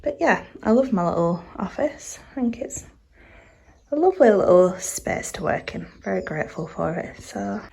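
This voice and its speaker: A woman's voice: medium pitch, animated, moderate pace, gravelly, mellow, husky, poor audio. A woman, nasal and stuffed up from a cold, chatting casually as she tours her small home art studio, rambling with plenty of filler words. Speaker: artist vlogger. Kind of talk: casual studio tour vlog.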